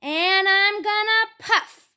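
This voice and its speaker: A woman voicing a menacing, bullying big bad wolf in a fairy tale, with drawn-out, emphatic chanting threats. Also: very high voice, bright, forceful tone, pitched above the performer's own voice, full character voice.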